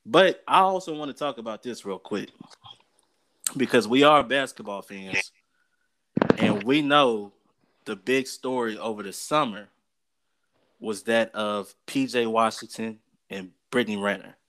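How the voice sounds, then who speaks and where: A man's voice: fairly low pitch, a little slow, long pauses, fairly bright tone, rough recording. An American man co-hosting a casual sports talk show, giving opinions in an emphatic, colloquial way and repeating phrases for effect.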